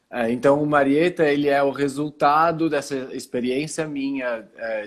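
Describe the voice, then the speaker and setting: A man from Italy, speaking as a guest on a video call through his phone, calmly explaining things and often pausing to collect his thoughts. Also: medium pitch. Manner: calm, explanatory, pauses often to gather thoughts. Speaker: guest, cultural center founder. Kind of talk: casual video call interview.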